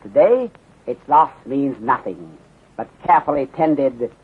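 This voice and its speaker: Calm Chinese detective inspector, tinny old radio. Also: male voice, mid-range voice, husky. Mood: sage, reflective. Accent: put-on Chinese. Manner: measured, formal, clipped sentences without articles. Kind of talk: 1930s radio acting. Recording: muffled, phone-like 1930s radio recording.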